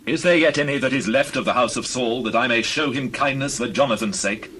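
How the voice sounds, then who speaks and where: A man's voice: mid-range voice, brisk, a bit bright, close to an everyday voice. A man playing a devout, magnanimous king of ancient Israel in a dramatized scripture reading, speaking in a solemn, reverent, declamatory manner. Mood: kindly, inquiring. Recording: clear background noise with birdsong.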